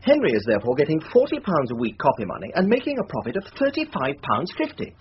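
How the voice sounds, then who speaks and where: An actor playing a fussy, pompous English barrister in a radio drama, full of earnest indignation. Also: male voice, a little high, huge pitch swings, fast. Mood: scandalised. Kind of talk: BBC radio drama acting. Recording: dull lo-fi radio recording, faint hiss.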